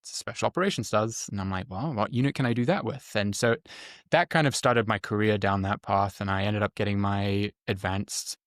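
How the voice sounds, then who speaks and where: A middle-aged man from the UK answering interview questions conversationally, explaining things with frequent pauses. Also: lowish voice, no room sound, studio quality. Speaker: guest, former Army Ranger medic.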